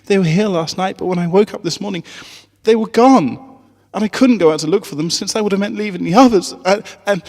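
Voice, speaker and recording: A man's voice: high voice, a little fast, a little mellow, long reverb tail, voice pushed up. A man voicing an anxious, motherly female pony schoolteacher from a story, fretful and earnest. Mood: panicked, distraught.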